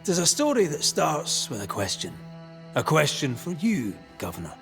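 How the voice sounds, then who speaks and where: An actor playing a weary, blunt ghost banisher who speaks in a measured, accusing way. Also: male voice, on the high side, huge pitch swings, a bit bright, heavily acted. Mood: challenging. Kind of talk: video game cutscene acting. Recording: long reverb under game music.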